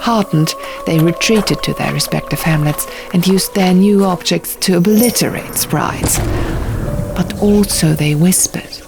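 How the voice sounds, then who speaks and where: A woman voicing an earnest young man who recounts dark ancient lore in an audiobook, measured and theatrical, pausing for effect. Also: on the low side, vocal fry. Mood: solemn storytelling. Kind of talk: audiobook character dialogue. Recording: music bed under the reading.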